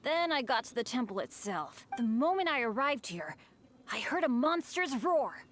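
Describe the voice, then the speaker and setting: A woman voicing an earnest, excitable teenage boy adventurer in an anime-style game, dramatic and exclamatory. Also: high-pitched, normal speed, a little hiss or hum. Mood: eager storytelling.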